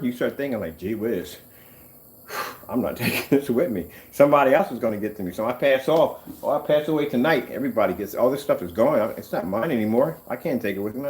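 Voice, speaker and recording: A middle-aged man chatting casually while working in a workshop, wandering off topic with animated bursts. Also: mid-range voice. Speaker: screen paint maker.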